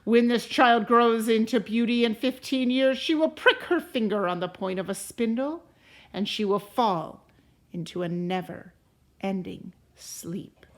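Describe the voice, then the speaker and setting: A woman's voice: a little high, measured pace. A woman voicing a bitter, vengeful fairy godmother from a storybook, with emphatic, ominous delivery.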